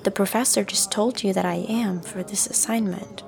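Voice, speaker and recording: A woman playing a guarded, unsure young student in an audio drama, soft-spoken and hesitant, often trailing into breathiness. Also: medium pitch, fairly even intonation, normal speed, creaky, a crowd in the background, natural voice. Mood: reluctant. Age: young adult. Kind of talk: acted audio drama dialogue.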